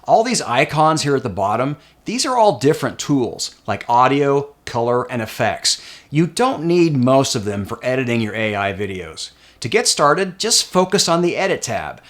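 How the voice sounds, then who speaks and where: A man narrating a scripted software tutorial as its host. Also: medium pitch, sing-song, normal speed.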